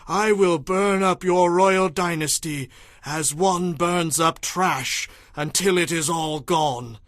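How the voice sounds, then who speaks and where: An aged prophet of stern, wrathful temper in a dramatized scripture reading. His voice sits high and moves slowly, with solemn, declamatory weight on each phrase. The sound is dry and close. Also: a bit bright, dry recording. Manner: solemn, declamatory, slow and emphatic. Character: stern old male prophet delivering God's furious judgment. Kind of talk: dramatized audio Bible acting.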